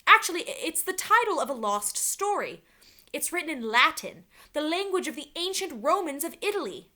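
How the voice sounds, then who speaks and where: Woman as wise enchantress, storybook character, formal, deliberate, commanding, bright high voice. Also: very animated, full character voice. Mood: explaining. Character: wise, commanding enchantress librarian giving solemn missions.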